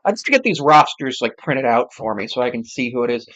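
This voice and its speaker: An amateur commentator giving casual live football play-by-play, with muttered asides and self-corrections, sex not clear from the voice. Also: medium pitch, big pitch swings, a little fast, a bit bright, lo-fi sound.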